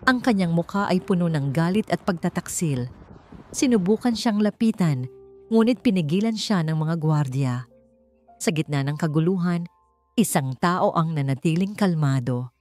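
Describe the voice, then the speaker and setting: A woman's voice: lowish voice, lively intonation, normal speed, darkish tone. A woman reading a dramatic scripted story as an audiobook narrator. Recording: music behind the voice.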